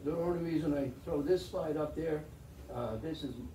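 An older man gives a chatty, wry talk over slides, his voice a bit high but soft and mellow. He is recorded from the back of a roomy meeting hall, distant, with a faint hiss behind. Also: normal speed. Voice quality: chatty, wry storyteller with restarts. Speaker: guest speaker, veteran pilot. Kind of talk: slide lecture to an audience. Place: meeting room with projector screen. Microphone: far camera in the audience.

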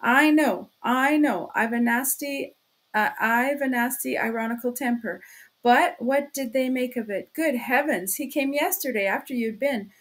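A sly police investigator in a storybook, acted out by a woman, with a fairly high voice. He wheedles and fusses, chattering on and repeating himself, mocking one moment and solicitous the next. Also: measured pace, lightly acted. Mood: solicitous, agitated. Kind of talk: audiobook character acting.

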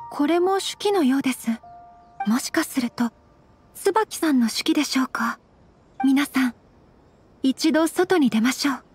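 A refined, earnest young noblewoman for an anime game. The voice is high and gentle, with thoughtful, formal and measured phrasing. Music is clearly heard behind it. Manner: very polite, measured, formal speech. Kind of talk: anime game character acting.

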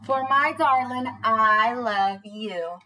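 A woman's voice: fairly high pitch, takes it slowly, sharp tone, slight background noise. A young woman preschool teacher doing a read-aloud for small children in a bubbly, sing-song manner, recorded on a webcam in a small room. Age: young adult. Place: small panelled indoor room.

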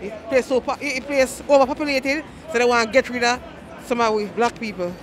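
A woman's voice: a little high, fairly even intonation, fairly quick, a crowd in the background. A woman with a Jamaican accent, answering on a busy city street into a handheld mic in firm, short replies. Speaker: street interviewee. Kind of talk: casual street chat. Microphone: handheld mic held by interviewer.

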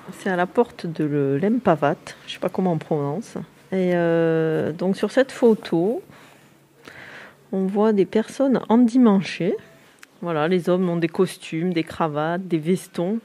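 A woman's voice: on the low side, animated, a little slow, mellow. A woman casually describes an old photograph, thinking aloud with fillers.

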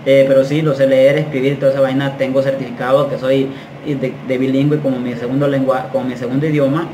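A man's voice: medium pitch, flat delivery, quick pace, dark tone. A man in his thirties telling his story to camera in a casual, slangy, frank way, gesturing a lot as he talks in a small bare tiled room. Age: 30s. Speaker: vlogger. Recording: echoey room, faint background noise.

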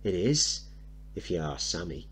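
A man's voice: low-pitched, big pitch swings, unhurried, husky, exaggerated voice. A man playing a scruffy, cheeky, boastful seven-year-old working-class boy, slangy and blunt, in a stage play reading.

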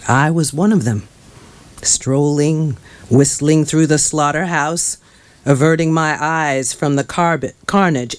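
A man reads literary prose aloud at a very slow pace. His delivery is halting and mournful, breaking into short phrases. He speaks into a stand microphone. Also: fairly high pitch. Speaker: author reading from his novel. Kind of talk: dramatic literary reading. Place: small crowded bookshop, indoors.

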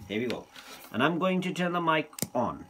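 A man presenting an informal hands-on unboxing in a hesitant, halting way, speaking non-native English in a room at home. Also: a little high, big pitch swings. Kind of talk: informal hands-on product demonstration. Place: small room at home, indoors. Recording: noticeable background noise, handling clicks.

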